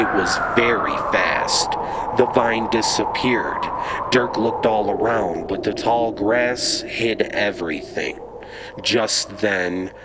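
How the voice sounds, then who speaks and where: A man narrating a children's story in radio drama style, deliberately and dramatically. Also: fairly low pitch. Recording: noisy ambient sound effects behind.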